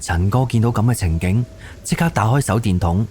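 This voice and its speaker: A man's voice: fairly low pitch, a little fast, a little hiss or hum. A man with a Cantonese accent narrating a scripted suspense ghost story for an audio drama. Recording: soft music under the voice. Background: background music.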